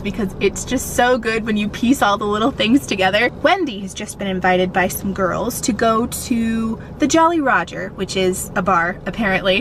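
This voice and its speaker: A young woman chatting casually as a book vlogger, expressive and a little self-deprecating. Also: medium pitch, background noise. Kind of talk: casual vlog chat.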